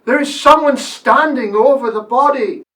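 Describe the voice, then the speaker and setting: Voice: high-pitched, normal speed, a bit bright, recorded from a distance. A performer playing a formal, deferential butler in a radio mystery play. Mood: grave, alert.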